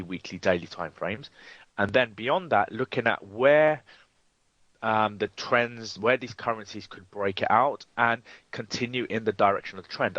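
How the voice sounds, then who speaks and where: A man's voice: fairly low pitch, fairly bright tone, no echo. A man with a cold, presenting a live trading webinar with frequent ums and restarts. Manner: hesitant, many ums and restarts. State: has a cold, sniffly. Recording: dry, dull lo-fi webinar audio.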